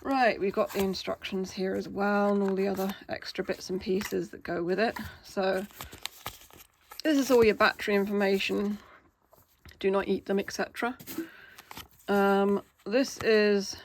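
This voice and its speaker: A woman, hoarse from a cold, chatting casually as she unboxes toys. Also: medium pitch, steady tone, measured pace, slight background noise. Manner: chatty, with frequent "um" fillers. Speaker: toy unboxing channel host.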